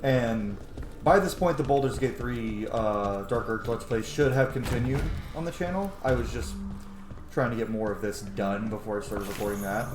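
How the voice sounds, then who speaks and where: A man in his thirties giving casual, gushing gameplay commentary as a streamer, sometimes swearing. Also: on the low side. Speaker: let's play streamer. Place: small room at home, indoors. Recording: loud game music behind, roomy sound. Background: video game music.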